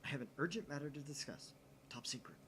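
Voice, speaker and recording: A man's voice: medium pitch, very animated, fast talker, bright, forceful tone, a little hiss or hum, voice pushed up. A man voicing a theatrical, self-styled young male mad scientist who talks in a dramatic, secretive way. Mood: insistent.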